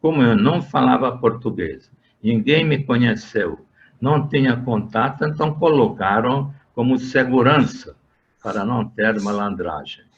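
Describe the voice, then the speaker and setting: An older man from Hungary with a Hungarian accent, giving an interview and recounting his working life, speaking haltingly with many fillers and restarts. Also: medium pitch, expressive. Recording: dull lo-fi sound.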